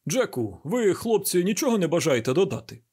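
A man plays a businesslike police chief in an audiobook crime story. His delivery is direct and practical, in a raised, husky voice. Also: sits high, big pitch swings, a little fast, a little mellow, pitched above the performer's own voice, full character voice. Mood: conciliatory. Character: businesslike male police chief running a briefing.

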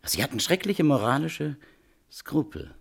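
A needling prosecutor in a radio drama, creaky voice, pitch swinging all over. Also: male voice, big pitch swings, exaggerated voice. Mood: sardonic, needling. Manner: needling, pointed, deliberate questioning. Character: sardonic male prosecuting attorney, probing and insistent. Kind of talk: German radio play acting, courtroom interrogation.

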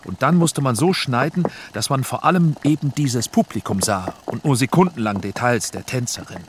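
An actor playing a film director's inner monologue in a radio drama, deliberate and absorbed. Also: male voice, medium pitch, lively intonation, noisy background, natural voice. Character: absorbed male film director thinking out shots.

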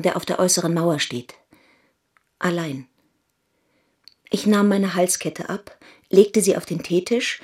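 An actress playing an imperious yet anxious princess of old China in a German radio play, speaking formally and with command. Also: female voice, lowish voice, a little mellow, close to an everyday voice.

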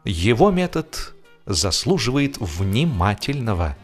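A man narrates a comic literary piece with ironic, theatrical expression. His delivery is unhurried and very animated, with deliberate pauses, over faint music. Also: fairly low pitch. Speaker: audiobook narrator and actor.

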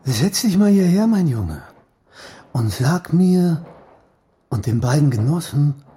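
A man's voice: a little high, very animated, mellow, pitched above the performer's own voice. A man voicing a menacing, taunting drunken farm steward in a storybook reading. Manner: slow, threatening, taunting. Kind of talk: acted audiobook dialogue.

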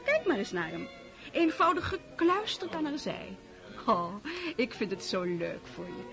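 An actress in a radio play as a gushing, mannered aristocratic hostess who gossips and makes matches. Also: female voice, fairly high pitch, very animated, unhurried, vocal fry, over music, heavily acted. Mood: excited gossip. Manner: effusive, mannered, sprinkles French endearments.

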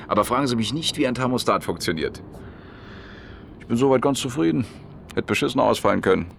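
A man's voice: medium pitch, expressive, fast, vocal fry, a little hiss or hum. A man acting a chatty, down-to-earth repairman in a German radio play, colloquial, jovial and blunt. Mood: chatty, wry. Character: chatty down-to-earth washing-machine repairman, eager billiards player. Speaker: radio drama actor.

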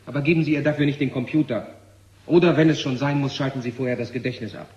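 A man's voice: medium pitch, steady tone, fast talker, a little mellow, breathy edge, dull audio. An actor playing an insistent, argumentative male scientist in a 1970 German radio drama, speaking with emphatic intensity. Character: insistent male scientist warning of an alien machine. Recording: noisy 1970 radio play recording.

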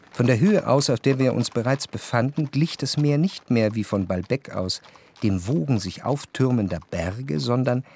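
A man playing a reflective, cultured narrator in a radio drama, speaking measured, articulate standard German. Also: mid-range voice, darkish tone, slight background noise, natural voice. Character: cultured first-person narrator, reflective society observer.

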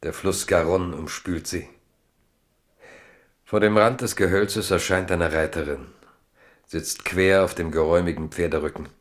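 A man narrating a 1970s German radio drama in a measured, dramatic, literary storytelling style. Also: low voice, fairly even intonation.

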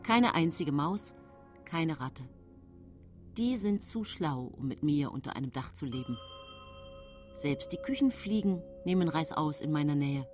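An actress playing a calculating, self-pitying poisoner widow who narrates her own tale in a radio drama, with a husky voice. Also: low-pitched, animated, a little slow, a little mellow, soft background music. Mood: uneasy.

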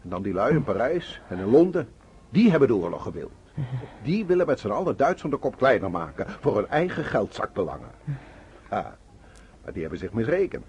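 Vehement, stubborn patriotic father, wildly swooping pitch. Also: sing-song, a little fast, darkish tone, highs cut off, slight background noise. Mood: indignant. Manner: vehement, declamatory. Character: proud, stubborn German socialist father defending the war. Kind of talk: radio drama acting.

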